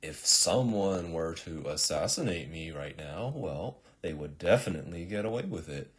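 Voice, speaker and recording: A man voicing an excitable, dramatic assassin who is easily flustered and exclaims in disbelief. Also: deep voice, normal speed, slightly rough. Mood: scheming. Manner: exclamatory, incredulous.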